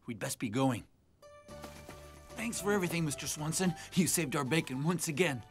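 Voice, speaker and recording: Earnest young cartoon hero voiced by a man, lively intonation. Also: fairly high pitch, normal speed, natural voice. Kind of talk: animated adventure series dubbing. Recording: loud music over the voice.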